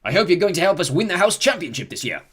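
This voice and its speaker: Man voicing old ghost gentleman, genteel, stiff, huffy, husky, lively pitch. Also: fast talker, full character voice. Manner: genteel, stiff, easily miffed. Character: centuries-old male ghost, genteel, touchy.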